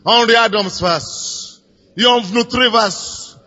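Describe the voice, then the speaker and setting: A man's voice: high voice, slow pace, bright, forceful tone. A man preaching. He grows fervent, repeats his phrases and leads the people in prayer. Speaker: pastor. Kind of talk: sermon leading prayer. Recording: dull lo-fi recording in a reverberant hall with music.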